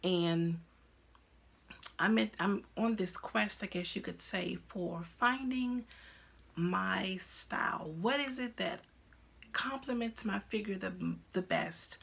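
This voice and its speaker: A woman's voice: mid-range voice, slow pace, creaky, fairly bright tone, slightly rough. A woman in her fifties hosting a crafting podcast, chatting casually at home with lots of ums and restarts. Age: 50s. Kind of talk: casual chat to camera. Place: at home, indoors.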